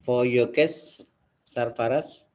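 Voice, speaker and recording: Slow, halting Indonesian-accented male lecturer. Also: mid-range voice, fairly even intonation, a little mellow. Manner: halting, repeats "let's say, like". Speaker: linguistics lecturer. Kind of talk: online class lecture.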